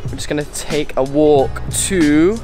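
A young man talks casually to his handheld camera as he walks sunny streets and lounges on a beach. His voice sits slightly high and stays relaxed and chatty. Clear music runs underneath everything he says. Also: measured pace. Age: young adult. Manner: chatty and laid-back, swears casually. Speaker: vlogger. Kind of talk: casual travel vlog chat. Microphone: handheld camera mic. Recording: music playing over the voice.

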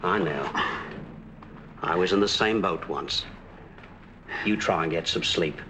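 An actor playing a kindly, chummy English ex-boxer cab driver in a 1940s film. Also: male voice, deep voice, slow, noisy background. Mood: gentle, reassuring. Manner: chummy, soothing, colloquial. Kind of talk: 1940s film noir acting. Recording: old 1940s film soundtrack with music.

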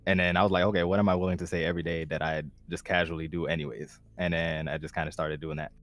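A man with a deep voice, chatting in a laid-back, rambling way as a participant on a video call. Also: little pitch movement, quick pace, no echo. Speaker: class participant. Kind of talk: casual group call chat.

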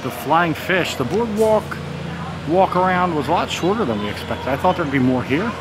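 A man's voice: a little high, sing-song, moderate pace. An American man in his thirties narrating a casual vlog as he goes around, recorded on a handheld camera. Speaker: vlogger. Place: out and about on a boardwalk and in restaurants. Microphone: handheld camera at arm's length. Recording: noisy handheld camera audio with ambient din.

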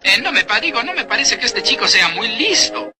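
A sarcastic, mocking high-voiced cartoon character heard in an inserted clip over loud music. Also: very animated, brisk, natural voice.

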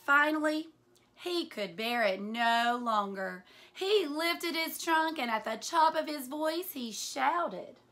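High, bright-voiced woman reading a children's story. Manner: deliberate, animated storytelling with hushed asides. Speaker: teacher reading aloud to children. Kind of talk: expressive picture-book read-aloud. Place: small furnished room indoors.

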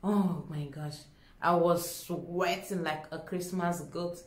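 A young woman with a Nigerian accent tells a story to camera in a dramatic, expressive way, acting out dialogue as she goes. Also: on the low side, slow. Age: young adult. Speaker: vlogger. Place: small indoor room at home. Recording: echoey small room.

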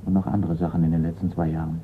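A man's voice: low-pitched, little pitch movement, racing, close to an everyday voice. A man playing a terse, guarded informant in a German radio crime drama. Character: male informant, terse and secretive. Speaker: actor. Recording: muffled, slightly noisy radio play recording.

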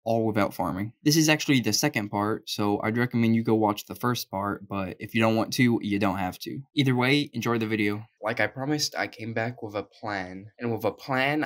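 A young man who is sick and sounds weak, giving wry, self-mocking commentary over his gameplay. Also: medium pitch. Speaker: gaming YouTuber.

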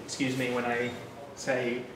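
A man in his thirties with a New Zealand accent, giving a casual, chatty developer talk at a meetup, relaxed with frequent fillers and asides. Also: medium pitch, measured pace. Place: bright loft-like office room with an audience. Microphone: room mic, speaker standing away from it. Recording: distant, echoey room with background noise.

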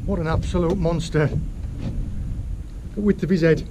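A young man from England, chatty and excitable, explaining his catch as he fishes from a small boat, recorded on an action camera. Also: a little high, moderate pace. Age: young adult. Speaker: fishing vlogger. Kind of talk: casual commentary while fishing. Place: small open boat at sea. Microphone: action camera mounted on the boat. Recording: noisy outdoor camera audio at sea.

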